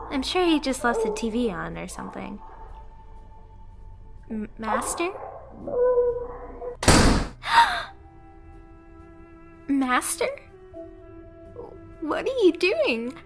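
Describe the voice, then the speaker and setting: Woman acting a high-pitched clingy yandere catgirl. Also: heavily acted. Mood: uneasy, suspicious, then shocked, furious. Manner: emotional, dramatic, at times dropping to a whisper. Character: yandere pet catgirl, lonely, clingy, jealous, unhinged lover. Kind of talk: anime ASMR roleplay acting.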